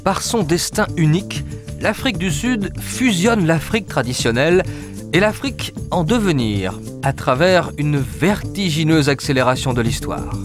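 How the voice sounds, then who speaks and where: Lively, higher-pitched male documentary narrator. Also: moderate pace. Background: music.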